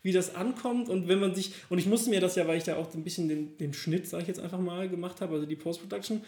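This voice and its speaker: Fast-talking, high-pitched man in a roomy space. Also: a little mellow. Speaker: podcast co-host. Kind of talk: casual chat. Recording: roomy echoing room sound.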